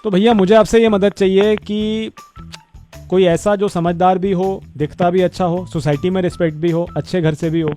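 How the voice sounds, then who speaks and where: A man playing a flattering neighbour who pleads for help on a prank phone call, in a wheedling, repetitive manner. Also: sits high, normal speed, faint music behind, lightly acted. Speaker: radio prank caller.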